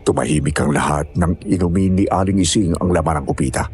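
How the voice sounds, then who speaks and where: A man's voice: deep voice, quick pace, a little mellow, slight background noise. A man narrating a scripted first-person horror story.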